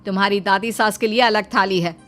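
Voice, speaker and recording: A woman's voice: medium pitch, steady tone, fairly quick, a bit bright, soft background music, lightly acted. A woman voicing an arrogant, bossy middle-aged mother-in-law in a moral story, easily exasperated.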